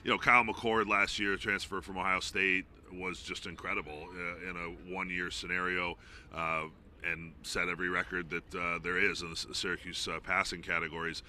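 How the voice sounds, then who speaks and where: A man's voice: deep voice, flat delivery, normal speed, bright, forceful tone, slight background noise. A man who works as a play-by-play announcer, giving informal, discursive sports analysis as an interview guest.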